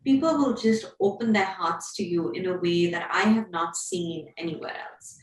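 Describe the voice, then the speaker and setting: Lady chatting warmly, laughing at herself, mic far off in an echoey room. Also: on the low side, normal speed. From Pakistan. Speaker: panel guest. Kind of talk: casual panel chat. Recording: roomy, distant mic with faint noise.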